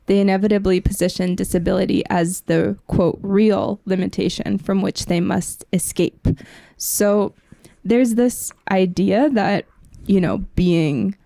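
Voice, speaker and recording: A woman speaks with noticeable vocal fry and a soft, mellow tone at a middling pitch. She is a podcast panelist laying out ideas in a measured, reflective, academic manner, recorded dry with no room sound. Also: dry recording. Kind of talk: thoughtful academic discussion.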